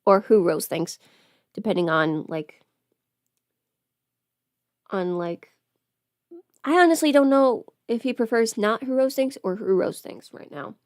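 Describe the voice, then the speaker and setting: A woman's voice: medium pitch, expressive, unhurried, pauses often, a little mellow, dry recording, studio quality. A young adult woman chatting casually to livestream viewers in a rambling, informal way, often interrupting herself. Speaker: livestreamer and audio performer. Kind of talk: casual livestream chat while putting on makeup.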